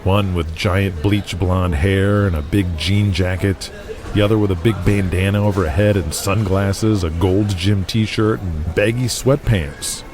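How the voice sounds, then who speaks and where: A low-pitched man delivers scripted dramatic narration for an audio drama. His deep voice sits over clearly audible background music. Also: a little slow.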